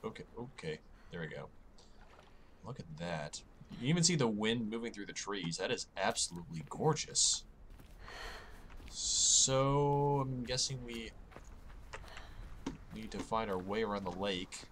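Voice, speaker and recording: A man with a slightly hoarse voice, rambling and joking at his own expense as he narrates playing a game. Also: fairly low pitch, expressive, measured pace, long pauses, fairly bright tone, slight background noise. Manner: rambling, self-mocking, chatty asides. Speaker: gaming channel host. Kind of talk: casual let's-play commentary. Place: home, late at night.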